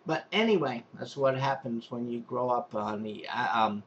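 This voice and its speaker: A man's voice: medium pitch, moderate pace, muffled recording, a little hiss or hum. A man with a strong Long Island accent, a pool and spa technician giving an unscripted, rambling how-to demonstration with many restarts and fillers. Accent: Long Island New York.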